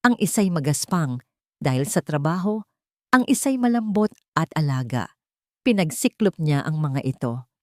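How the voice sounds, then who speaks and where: A woman's voice: sits low, big pitch swings, normal speed, dark tone, no echo, studio quality. A woman reading a scripted, dramatic audio story as its narrator.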